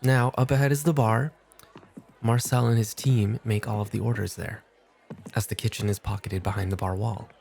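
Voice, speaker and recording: A man playing a cool, unhurried club host in an ASMR roleplay, speaking with firm, dry, measured teasing. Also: on the low side, fairly even intonation, vocal fry, a little mellow. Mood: playful.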